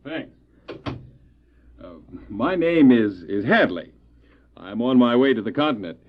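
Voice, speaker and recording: An actor playing a polite, curious traveller in an audio drama, speaking in a halting, inquisitive way. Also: male voice, mid-range voice, very animated, muffled recording. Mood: curious, hesitant. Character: curious, polite, hesitant male traveller visiting the hospital. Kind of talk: radio drama acting. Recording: thin, band-limited old cassette drama.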